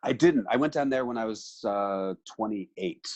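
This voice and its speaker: A man who is a writer makes quick, loose small talk as a guest on a class video call. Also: on the low side, a little mellow, dry recording, muffled recording. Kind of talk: casual chat.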